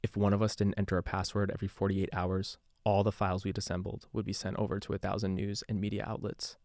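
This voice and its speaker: A low-pitched, embittered radio DJ played by a male actor records a confessional account for a podcast drama. He talks conversationally, weary and sardonic, in a very dry, close sound. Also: monotone, fast, vocal fry, darkish tone, dry recording. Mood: sober, guarded. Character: male radio DJ turned secretive investigator, embittered and haunted. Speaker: radio DJ narrating a taped case file.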